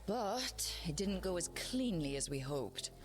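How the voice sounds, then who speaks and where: A woman's voice: lowish voice, very animated, a little slow, faint music behind. A woman playing a decisive young heroine who leads a party in a fantasy video game, firm and plain-spoken. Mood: firm, explaining.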